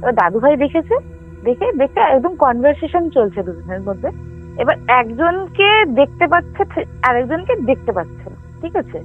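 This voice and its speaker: A woman telling a ghost story casually as a caller, halting, repeating and correcting herself as she goes. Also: sits high, sing-song. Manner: halting, repetitive, self-correcting. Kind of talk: casual spoken storytelling with frequent check-in questions. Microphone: phone line. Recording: tinny phone call with music underneath. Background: music.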